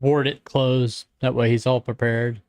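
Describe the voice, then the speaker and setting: A man's voice: mid-range voice, little pitch movement, slow, darkish tone, dry recording, studio quality. A man playing in a live tabletop role-playing game, explaining his character's choices in casual table talk.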